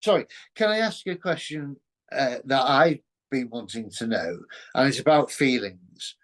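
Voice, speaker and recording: A man interviewing a guest casually, earnest and a little halting as he frames his questions. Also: medium pitch, animated. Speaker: interviewer, show host.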